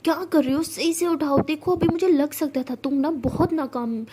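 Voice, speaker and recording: A woman's voice: fairly high pitch, steady tone, fairly quick, dark tone, slight background noise, natural voice. Woman doing a haughty spoiled young woman. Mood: shrill shouting. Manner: haughty, scolding, mixing in English. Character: spoiled arrogant young woman, bullying sister.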